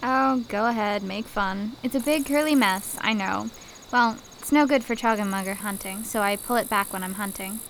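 A woman's voice: medium pitch, moderate pace, slight background noise, close to an everyday voice, poor audio. A woman playing a plucky, confident young huntress in a radio play, matter-of-fact and teasing. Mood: wry, teasing. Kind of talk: radio theater acting.

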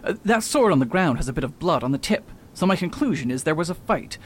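Radio mystery sleuth: self-assured male doctor played by a man, highish voice, very varied intonation, pompous, precise. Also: sing-song, fast, slight background noise. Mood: confident, insistent. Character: pompous male doctor-detective, confident in his deductions. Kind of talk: radio drama acting.

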